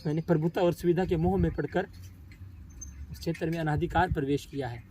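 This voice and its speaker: Male actor as tormented, self-doubting poet in a stage drama; high voice, solemn and emotional, pausing heavily; light birdsong noise. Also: steady tone, mellow, natural voice. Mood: subdued sorrow. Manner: solemn, halting, emotional delivery with dramatic pauses. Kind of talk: theatrical stage monologue in formal literary Hindi.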